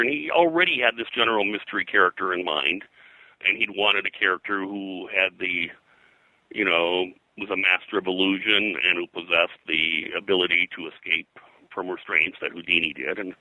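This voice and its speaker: Bright, forceful low-voiced man explaining history. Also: no echo, rough recording. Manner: halting, digressive, detail-heavy explaining. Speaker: pop culture historian, guest. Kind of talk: informal interview, recounting history.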